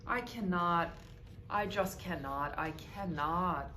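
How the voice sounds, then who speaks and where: A woman's voice: on the low side, sharp tone. A woman voicing a tired, rusty old male engine in a storybook, sighing and defeated. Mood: weary. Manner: slow, weary, sighing. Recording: roomy echo with background noise.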